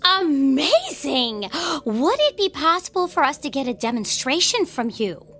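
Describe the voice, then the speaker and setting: A woman's voice: high-pitched, sing-song, unhurried, a bit bright, full character voice. A woman playing a flirty, giggly TV host. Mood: bubbly. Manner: flirtatious, giggly, then defensive. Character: vain chatty female TV host, middle-aged, flirty. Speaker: morning TV show host. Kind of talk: old-time radio drama acting. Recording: radio drama with music underneath.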